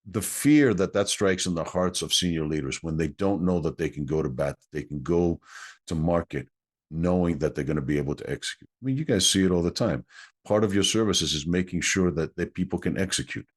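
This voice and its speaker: A middle-aged man whose first language is Greek, a consultant guest in an interview, explaining things in an earnest, measured way. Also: low-pitched, breathy edge. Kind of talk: interview conversation.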